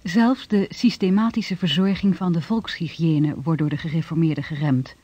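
An actor playing a sober historical chronicler, narrating from a script in a radio drama. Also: male voice, on the high side, fairly even intonation, moderate pace, dark tone, slightly rough, no echo. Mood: sober, factual. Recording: dull, dry old radio recording.

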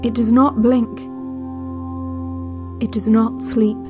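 Eerie calm cassette guide, audio drama, measured hypnotic delivery, very slow pace, music underneath. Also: female voice, on the high side. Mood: quietly ominous. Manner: measured, deliberate, soothing instructions with long pauses. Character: calm, eerily watchful woman guiding a relaxation cassette. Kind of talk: slow hypnotic relaxation-tape narration in a fiction podcast.

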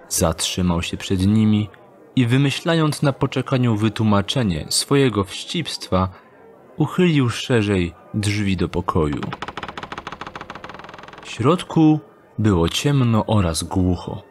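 A man narrating a scripted dark story as an audio drama narrator, in measured, literary style. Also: mid-range voice. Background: music.

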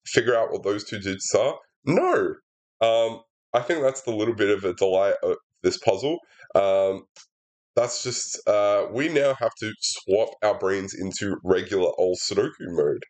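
A young man with a congested, sinusy voice, casually hosting a sudoku walkthrough and talking through each step with lots of fillers, recorded on a laptop's built-in mic at his desk. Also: lowish voice. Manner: chatty and relaxed, many fillers, explains steps aloud. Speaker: puzzle setter and walkthrough host. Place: indoor room at a desk.

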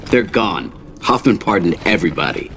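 An actor playing a loyal soldier in a video game, curt and urgent. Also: male voice, sits low, expressive, moderate pace. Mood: brisk. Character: brisk, loyal male soldier on a rescue. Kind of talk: video game cutscene acting. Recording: clear noise of machinery and clicks.

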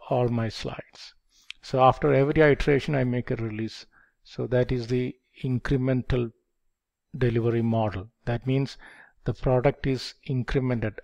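An older man teaches in a measured, methodical way, with a gravelly texture to his mid-pitched voice. He speaks into a headset microphone in a dim room, walking through each step with patient repetition. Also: darkish tone. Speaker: project management instructor. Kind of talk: explanatory lecture. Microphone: headset mic.

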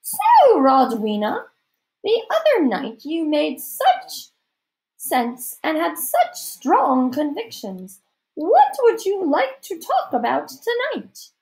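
A woman voicing a haughty, stern older lady in an audiobook, with a pompous, drawn-out, imperious delivery. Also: sits high, huge pitch swings, a little mellow, pitched above the performer's own voice, heavily acted, poor audio. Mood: gushing. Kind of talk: audiobook character voice.

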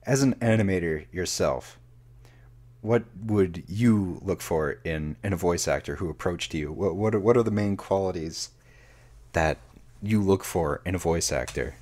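A man with a very low voice hosting a relaxed podcast interview. He talks haltingly, searching for his words as he asks questions. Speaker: podcast host. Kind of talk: casual interview.

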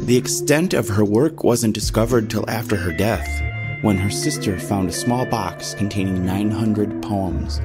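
A man giving scripted narration as a podcast announcer. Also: on the low side.

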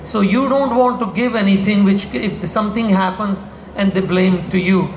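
A man's voice: high voice, moderate pace, slight background noise. An older man on a convention panel talking casually about supplement formulas, rambling with many restarts and asides into a handheld mic. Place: large convention hall stage. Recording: echoey convention hall.

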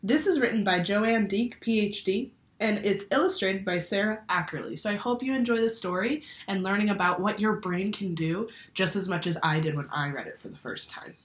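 A woman's voice: mid-range voice, a little fast, few pauses. A young woman reading a children's picture book aloud like a teacher, expressively, asking the listeners questions. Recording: roomy indoor echo.

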